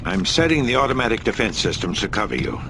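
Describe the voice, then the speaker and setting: An actor playing a calm, reassuring older man who runs a space station and protects the fugitives. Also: medium pitch, fast, vocal fry, music in the background. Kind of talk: video game cutscene acting. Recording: noisy ambient background.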